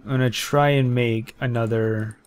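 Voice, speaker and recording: A man's voice: mid-range voice, steady tone, noisy background. Rambling young man narrating his gameplay. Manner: rambling, halting, thinking aloud with many fillers and restarts. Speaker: gameplay commentator. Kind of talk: casual let's-play commentary.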